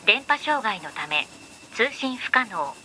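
An actress voicing an emotionless robotic support pod in a video game, giving clipped formal reports. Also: female voice, on the high side, very animated, slow, pushed and bright, slight background noise, heavily acted. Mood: impassive, businesslike. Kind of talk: video game mission briefing.

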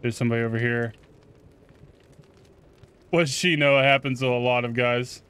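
A man giving casual first-look game commentary, excitable and sweary, reacting aloud and chatting to his viewers. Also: mid-range voice, moderate pace, smooth. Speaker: gaming video host.

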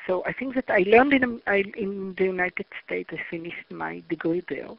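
A woman's voice: medium pitch, gravelly, no room sound. A middle-aged Israeli woman with an Israeli accent, a reading researcher answering a phone interview hesitantly, with many restarts. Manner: hesitant, halting with restarts and fillers. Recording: muffled phone line.

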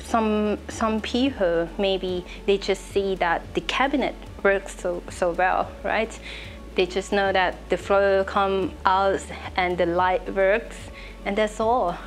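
A woman's voice: medium pitch, steady tone, slow pace, faint music behind, low-quality recording. A young woman from Laos with a Lao accent, answering interview questions in a hesitant, conversational way. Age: young adult. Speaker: interviewee, trainee. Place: indoor office room. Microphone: lapel.

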